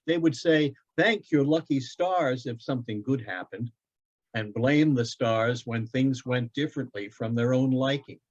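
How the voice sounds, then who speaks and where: A man reads as a gentle, wise father talking to his little boy in a storybook tale. He is unhurried, warm and reverent, heard through slightly dull audio. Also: mid-range voice, natural voice. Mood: musing. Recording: dull, lo-fi online event audio.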